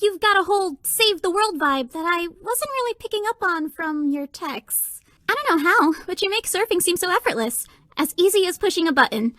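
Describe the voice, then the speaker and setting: A woman's voice: extremely high pitch, fairly bright tone, no echo. A woman voicing a sweet, self-deprecating young woman in a dating game, earnest and playful in delivery. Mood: admiring. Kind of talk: video game character voice lines.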